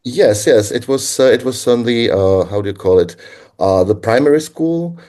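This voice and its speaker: A man from the Czech Republic with a Czech accent, speaking English as a guest in an interview, reflective and halting with frequent pauses. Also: medium pitch, expressive, warm tone. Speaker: guest linguist. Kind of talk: conversational interview answers.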